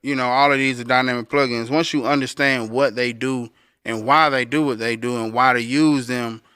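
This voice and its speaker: Bright, crisp male podcast co-host. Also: fairly even intonation, normal speed, no room sound, studio quality. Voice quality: deep voice. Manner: conversational explainer, addressing listeners directly. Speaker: podcast co-host and recording engineer. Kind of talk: casual instructional talk.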